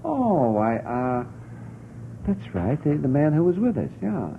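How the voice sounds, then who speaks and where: A man's voice: very animated, a little slow, gravelly. A man hosting an ad-lib late-night radio talk show, conversational and courteous, moving between chat and live ad reads. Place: radio studio. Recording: muffled 1950s radio broadcast, noisy, rumbling.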